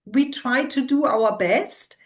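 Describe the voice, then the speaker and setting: A middle-aged woman with a loud voice, giving an interview as a senior instructor and sometimes searching for words in English. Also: sits high, slow pace. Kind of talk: conversational interview.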